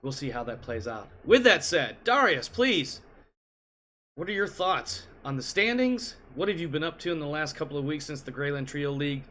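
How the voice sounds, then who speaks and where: A man's voice: a little high, sing-song, normal speed, a bit bright. A man hosting a game league show from his home computer, talking casually with playful asides and jokes. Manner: joking, rambling, playful asides. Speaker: league host. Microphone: computer mic at home desk.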